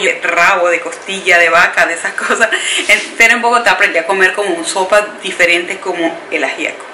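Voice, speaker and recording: A woman's voice: fairly low pitch, roomy sound, slight background noise, low-quality recording. Chatty Colombian Caribbean woman vlogging. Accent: Colombian Caribbean coast. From Caribbean coast of Colombia. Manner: chatty, warm address to viewers as "bellezas", emphatic questions. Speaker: beauty vlogger. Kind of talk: casual chat to camera. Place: home room with shelves and clothes rack, indoors. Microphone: camera in front at close range.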